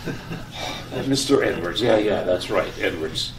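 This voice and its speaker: A man's voice: deep voice, animated, slow. A man playing a harried husband in an old-time radio comedy sketch, stammering and repeating himself. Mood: confused, exasperated. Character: flustered, harried husband rushing to catch a taxi. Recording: echoey room, distant mic, faint noise.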